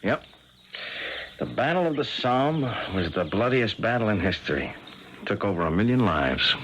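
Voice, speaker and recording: An actor playing a decisive, urgent American army sergeant in a 1970s radio war drama. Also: male voice, on the low side, big pitch swings, moderate pace. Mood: reflective, calm. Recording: noisy 1970s radio broadcast recording.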